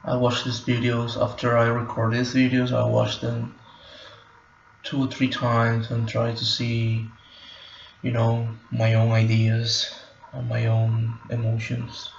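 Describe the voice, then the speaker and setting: A man talks to his camera, groping for words and circling back over his phrases. His voice is gravelly and keeps to a narrow pitch. The recording is muffled and echoey, like a bare room at home. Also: completely flat delivery, a little hiss or hum. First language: Spanish. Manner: halting, many fillers and restarts. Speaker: vlogger. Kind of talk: personal reflective monologue.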